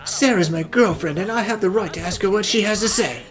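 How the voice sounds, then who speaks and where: A woman's voice: mid-range voice, brisk, soft background music, close to an everyday voice. A woman as an earnest, pleading young man. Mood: insistent. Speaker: voice actor in amateur cartoon dub. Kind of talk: acted cartoon dialogue, fan dub.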